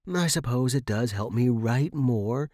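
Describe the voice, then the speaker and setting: A man's voice: mid-range voice, animated, a little slow, darkish tone, dry recording, studio quality, close to an everyday voice. A man voicing a friendly young female housemate with a chatty, informal and emphatic manner in a narrated story. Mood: uneasy. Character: friendly, giggly young woman, a new housemate.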